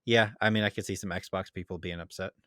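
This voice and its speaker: Dude co-hosting a gaming podcast, lowish voice, loose casual chat, dry close recording. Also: male voice, steady tone, quick pace, a bit bright, no room sound. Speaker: podcast co-host.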